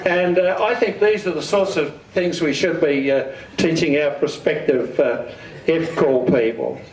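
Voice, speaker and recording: A man's voice: on the high side, unhurried. A plain-spoken Australian man giving an informal instructional talk, often putting questions and answering them himself. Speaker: amateur radio club speaker. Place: community hall. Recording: echoey room, distant microphone.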